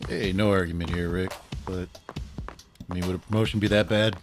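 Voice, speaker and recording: A man's voice: low-pitched, fairly even intonation, a little fast, music in the background, close to an everyday voice. A man playing an easygoing, slightly hesitant police colleague in a comic audio drama. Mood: friendly, casual. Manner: casual, hesitant.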